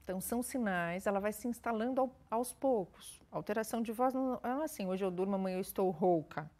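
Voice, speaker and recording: Measured woman explaining voice care. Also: mid-range voice, darkish tone. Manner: measured, explains with everyday examples. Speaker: speech therapist guest. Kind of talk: explanatory interview answers.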